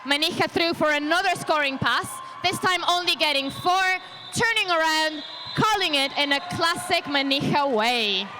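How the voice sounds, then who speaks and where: A woman's voice: high voice, lively intonation, normal speed, few pauses, rough recording. A woman doing live roller derby commentary in a chatty, joking way, with a faint arena hum behind her. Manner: jokey, chatty, laughs often. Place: leisure centre arena.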